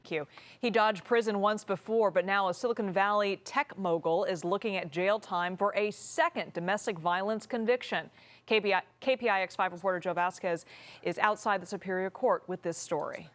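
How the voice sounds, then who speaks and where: A female studio anchor with a crisp, practiced delivery. Her voice sits at a middle pitch, steady through each story. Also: normal speed. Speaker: news anchor. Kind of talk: studio news reading.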